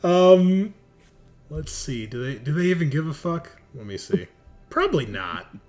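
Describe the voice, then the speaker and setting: A man's voice: on the high side, sing-song, measured pace, darkish tone, faint music behind. A man running a horror tabletop roleplaying game as game master, narrating descriptively and suspensefully.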